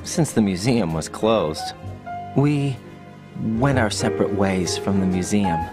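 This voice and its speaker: Earnest young agent, music behind. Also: male voice, on the low side, expressive, a little slow. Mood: reflective. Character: earnest young male special forces agent. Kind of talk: video game voice acting over radio call.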